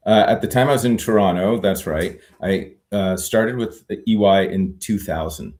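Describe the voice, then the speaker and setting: A man's voice: on the low side, normal speed, darkish tone. A man being interviewed about his long legal career, talking in a reflective, meandering way with many fillers and restarts. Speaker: guest, retired immigration lawyer. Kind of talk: conversational interview.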